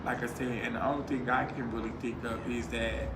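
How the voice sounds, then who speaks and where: Casting: man, earnest panel guest, mid pitch, heavy background noise. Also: little pitch movement, fairly quick. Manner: earnest, full of fillers like "you know what I'm saying". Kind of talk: casual panel discussion. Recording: echoey room with loud noise.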